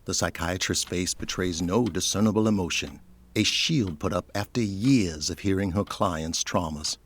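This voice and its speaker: A man's voice: deep voice, moderate pace. A man reading scripted narration for an audio drama.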